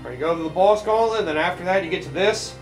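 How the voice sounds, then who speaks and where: A man giving casual, excitable let's play commentary, swearing freely. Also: high-pitched, fast, echoey room. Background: game music.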